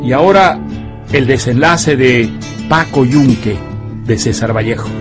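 A man reads a scripted programme announcement as a radio presenter. His voice is of medium pitch, over clearly heard guitar music. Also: unhurried.

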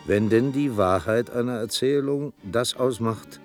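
An actor playing a reflective storyteller narrating a literary radio drama in a measured, dramatic manner. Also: male voice, medium pitch, faint music behind, lightly acted. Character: reflective male storyteller recalling his own tale.

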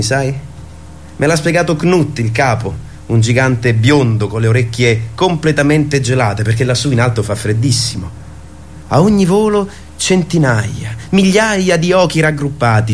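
An actor playing a dreamy, earnest young man in a radio play, telling his story with hushed pauses. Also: mid-range voice, a little hiss or hum, natural voice. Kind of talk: radio theatre acting.

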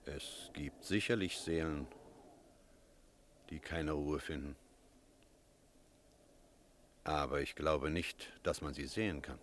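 Deep-voiced, stern village priest. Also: male voice, a bit bright, full character voice. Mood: grave, reflective. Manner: measured, rhetorical, literary diction. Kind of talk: German radio drama acting with narration.

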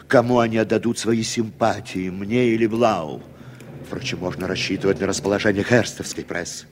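An actor playing a self-absorbed, brooding man in a measured, reflective inner monologue for an old radio drama. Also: lowish voice, steady tone, noisy background, natural voice. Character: self-absorbed, brooding man named Frank, narrating his evening. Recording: 1960s radio recording, music behind.

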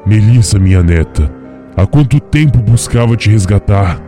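A man's voice: on the low side, animated, moderate pace, over music, lightly acted. A man playing an ancient, grandfatherly golden dragon librarian in a fantasy audio drama, speaking formally and with authority. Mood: tender. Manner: formal, solemn phrasing.

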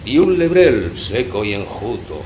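Slow, declamatory male narrator in echo. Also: lowish voice, sing-song. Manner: dramatic, declamatory, drawn-out phrasing. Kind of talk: theatrical comic verse recitation. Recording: roomy echo, loud background noise.

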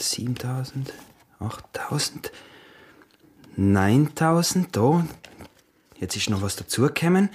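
An actor playing a greedy, scheming smuggler husband in a radio drama, with a husky voice, an Austrian accent and a muttering, wheedling manner. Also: male voice, on the low side, heavily acted.

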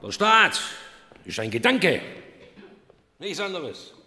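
An actor playing a pompous, irritable philosophy professor in a German radio play, lecturing in an emphatic, halting, declamatory way. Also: male voice, fairly high pitch, sing-song, music in the background. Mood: grandly declamatory. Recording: echoey hall reverb.

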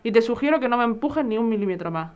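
A woman's voice: on the high side, expressive, fast, darkish tone, smooth, lo-fi sound, lightly acted. A woman playing a resolute, fed-up wife leaving her husband in an audio drama. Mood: firm, fed up.

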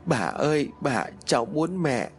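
A man's voice: a little high, sing-song, moderate pace, gravelly, soft background music, pitched above the performer's own voice. A man voicing a frightened small girl, tearful and pleading. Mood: scared, tearful.

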